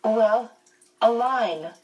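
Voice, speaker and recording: A woman's voice: a little high, drawn-out words, dull audio, pitched above the performer's own voice. A woman voicing an anxious, blunt teenage girl who talks earnestly and hesitantly, recorded at a distance.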